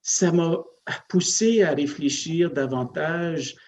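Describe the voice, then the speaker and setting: Slow, reflective priest. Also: male voice, a little high, a little mellow. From Northern Ontario, Canada. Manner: hesitant, many fillers and restarts, reflective. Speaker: parish priest, guest. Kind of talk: interview, personal storytelling.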